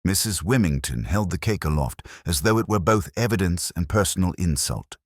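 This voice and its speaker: Male narrator, very deep, expressive pitch, scripted mystery storytelling, dry close sound. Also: moderate pace, no room sound.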